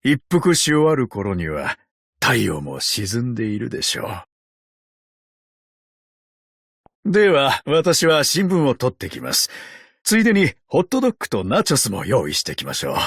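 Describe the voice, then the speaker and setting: A man voicing a courteous, wry, ancient undead detective in a tin exoskeleton for a game, with polite, gentlemanly phrasing. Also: mid-range voice, wildly swooping pitch, gravelly, husky, dry recording, clean studio sound, heavily acted. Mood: warm, inviting. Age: older. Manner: polite, unhurried, sardonic gentlemanly speech. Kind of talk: Japanese game character voice lines.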